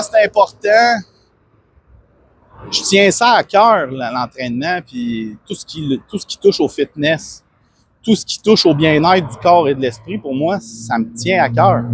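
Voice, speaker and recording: A man's voice: fairly high pitch, slight background noise. A man with a Québécois French accent, a fitness coach talking earnestly and rambling in a casual self-promotional monologue. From Quebec. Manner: rambling, earnest, many restarts and fillers.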